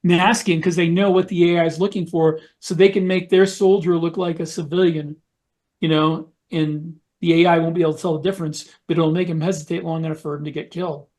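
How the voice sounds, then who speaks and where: Higher-pitched man, chatting casually. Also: flat delivery, brisk, a little mellow. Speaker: podcast co-host and author. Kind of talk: casual discussion.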